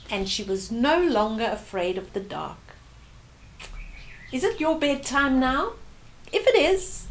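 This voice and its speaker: Slow, high-voiced older woman storyteller. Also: a little hiss or hum. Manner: gentle, addressing young children with questions. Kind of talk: read-aloud children's bedtime story with asides to the listener.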